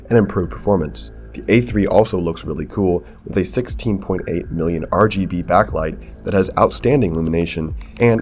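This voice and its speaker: A man narrating a scripted gaming tutorial in a measured, explanatory way, as a video creator. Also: sits low, a little mellow. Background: background music.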